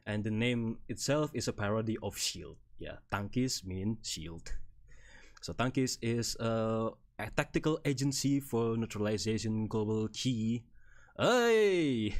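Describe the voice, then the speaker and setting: A man in his thirties from Indonesia, speaking English with an Indonesian accent, chatting casually on a live stream as he presents slides about himself with a rambling, good-humored manner and frequent chuckles. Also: medium pitch. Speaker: VTuber streamer.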